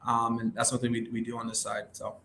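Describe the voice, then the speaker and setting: A man's voice: medium pitch, completely flat delivery, fast, creaky, pushed and bright. A young man from New York City, a wealth management advisor, talking casually and at length as a guest, with lots of fillers and an upbeat, self-promoting manner. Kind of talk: casual interview conversation. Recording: roomy echo with faint background hum.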